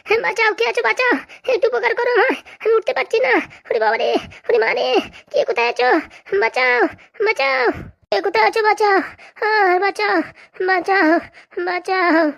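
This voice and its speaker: A meek young cartoon housewife, voiced by a woman, with a high voice and a slight creak. She speaks plaintively and melodramatically. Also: fairly bright tone, full character voice. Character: meek, dizzy pregnant young housewife, wailing when hurt. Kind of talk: comedy cartoon dubbing.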